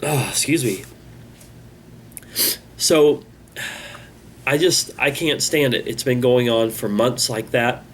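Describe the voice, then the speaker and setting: A man hosting his own channel, talking in a halting, rambling, self-critical way, with a congested voice. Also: medium pitch, slight background noise. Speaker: channel host. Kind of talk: casual vlog monologue.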